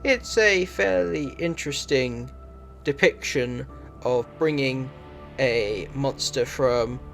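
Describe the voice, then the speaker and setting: A man delivering scripted review narration in a measured, matter-of-fact way. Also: medium pitch, gravelly, a bit bright, faint music behind. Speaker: video reviewer.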